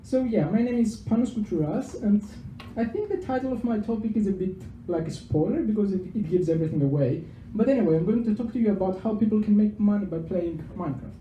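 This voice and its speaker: A man's voice: sits high, fast, few pauses, lo-fi sound, slight background noise. A young man from Greece with a Greek accent, giving a casual public science talk to a live audience in a pub. He is chatty and self-deprecating, using lots of fillers and repeated words. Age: young adult. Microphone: handheld microphone. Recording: echoey venue with faint background ambience.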